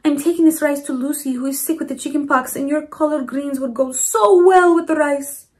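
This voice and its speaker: A woman's voice: sits high, steady tone, brisk, voice pushed up, lightly acted. A woman voicing a kindly, polite grandmother in a children's storybook, courteous and eager in her delivery.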